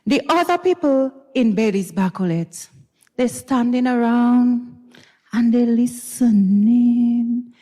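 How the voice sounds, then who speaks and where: A woman with a Grenadian accent giving a dramatized story reading, expressive and gossipy, with musing hums and pauses. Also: fairly high pitch. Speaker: dramatic reader. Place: university recital hall stage. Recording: reverberant recital hall.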